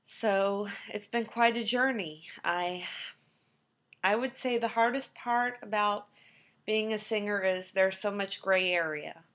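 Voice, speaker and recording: A woman's voice: medium pitch, takes it slowly, fairly bright tone. A young woman, a trained singer, talking casually about her background to a webcam, with plenty of ums and restarts. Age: young adult. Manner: rambling, many ums and restarts.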